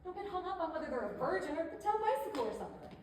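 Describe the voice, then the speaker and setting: A woman's voice: very high voice, big pitch swings, racing. A woman playing a chatty, pushy but tactful image consultant in a stage comedy, conversational and persuasive with hesitant asides. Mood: coaxing. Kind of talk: theatrical stage comedy acting. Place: indoor theater stage. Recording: echoey theater, distant mic, faint noise.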